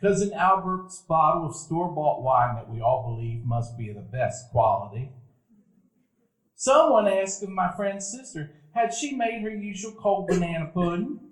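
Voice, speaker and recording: Man as a reflective narrator, grown man remembering boyhood, measured telling, echoey live stage. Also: high voice. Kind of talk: stage radio play narration. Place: dinner theater stage before a live audience.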